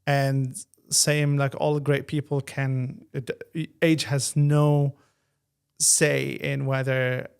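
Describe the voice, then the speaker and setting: A man hosting an interview, asking rambling, halting questions. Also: medium pitch, flat delivery, gravelly, clear, resonant tone. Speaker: interview host.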